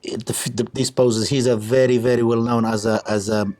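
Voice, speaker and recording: Libyan guy, english, halting and modest, mid pitch. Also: flat delivery, darkish tone. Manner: halting, modest, repeats words. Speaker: guest bodybuilder. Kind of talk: casual chat.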